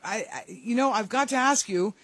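A woman's voice: fairly high pitch, normal speed, fairly bright tone, slightly rough, dry recording. A woman hosting a radio show in a chatty, informal conversational style while getting over a cold. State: has a lingering cold. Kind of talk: chatty sports radio interviewing.

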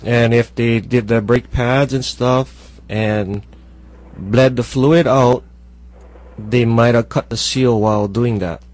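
A man with a Hawaii accent co-hosting a car radio show, giving calm matter-of-fact advice. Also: mid-range voice, slow pace. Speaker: radio co-host.

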